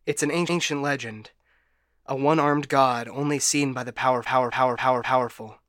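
A man's voice: medium pitch, steady tone, fairly quick, a bit bright, no echo, clean studio sound, lightly acted. A man playing a broken, paranoid ship AI in a science fiction audio drama, stammering and getting stuck repeating words. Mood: halting, scheming. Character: frightened, broken male ship AI, paranoid and unstable.

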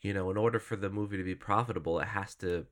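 A man's voice: low-pitched, quick pace, fairly bright tone. A man co-hosting a casual film podcast while sick, with a stuffy nose and a self-mocking way of talking. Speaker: podcast co-host.

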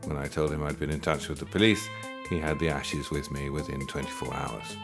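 A man's voice: rumbling low, fairly even intonation, brisk. A man reading his own first-person story aloud in a dry, wry storytelling manner. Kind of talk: scripted first-person narration. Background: guitar music.

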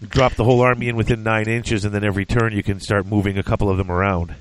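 A man's voice: lowish voice, flat delivery, fast, slight background noise, rough recording. A man hosting a casual podcast, congested and breathing hard, chatty and self-deprecating with many fillers. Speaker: podcast host.

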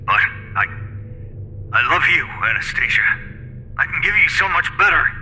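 A man's voice: on the high side, very animated, moderate pace, pushed and bright, big-room sound, a little hiss or hum, full character voice, poor audio. A man playing a smug, possessive young man who coaxes and menaces in an audio horror story. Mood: pleading.

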